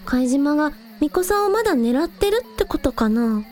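ラジオドラマで、率直で面倒見のよい元気な若いアイドルの女の子を演じる女性。. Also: female voice, high-pitched, sing-song, normal speed, a little mellow. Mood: concerned. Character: energetic young village idol girl, frank and caring. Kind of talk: amateur anime-like radio drama acting.

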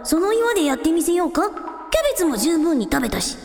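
A woman's voice: very high voice, big pitch swings, fairly quick, exaggerated voice. A woman playing a proud young dragon prince in a radio drama, with boastful, old-fashioned lordly phrasing. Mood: confident. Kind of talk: Japanese radio drama acting. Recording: echoey, long reverb, music underneath.

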